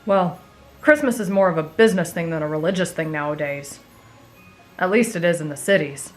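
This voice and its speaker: A woman's voice: fairly low pitch, fairly quick, soft background music, lightly acted. A woman voicing an emotional, cynical teenage boy demon slayer, grumbling and easily exasperated, in an anime fan audio roleplay. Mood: dry, skeptical.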